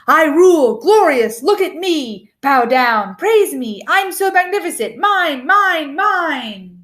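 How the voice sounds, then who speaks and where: A vain storybook king, acted out by a woman, pitched high and full of bluster. The delivery is pompous and grandiose, swooping widely as he boasts. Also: big pitch swings, slow, fairly bright tone, full character voice. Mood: gloating.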